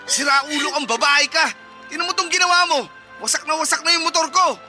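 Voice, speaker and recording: A man's voice: very high voice, fast, pushed and bright, faint music behind, heavily acted. A man playing a manipulative, womanizing young boyfriend in a melodramatic radio drama, whining, defensive and accusatory. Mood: indignant.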